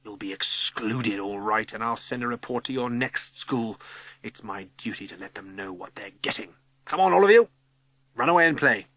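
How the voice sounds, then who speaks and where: Man playing a stern schoolmistress, haughty, spiteful, bright forceful tone, scolding, audiobook character. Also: fairly low pitch, animated, normal speed, no room sound. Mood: scolding, contemptuous.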